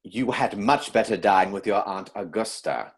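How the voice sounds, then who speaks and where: A man playing an earnest, easily exasperated young English gentleman in a stage comedy reading, stuffy and emphatic. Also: lowish voice, normal speed, slightly rough.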